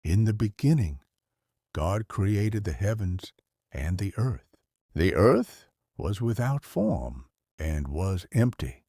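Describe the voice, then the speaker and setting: An actor playing a kindly old grandfather who tells Bible stories in an unhurried, expressive, theatrical way. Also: fairly low pitch, slightly rough, no room sound, clean studio sound, exaggerated voice. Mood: earnest. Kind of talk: dramatized audio story narration.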